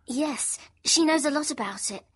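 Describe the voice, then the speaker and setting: A man voicing a spirited, impulsive young girl in a high, girlish voice. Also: lively intonation, moderate pace, fairly bright tone. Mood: polite, evasive.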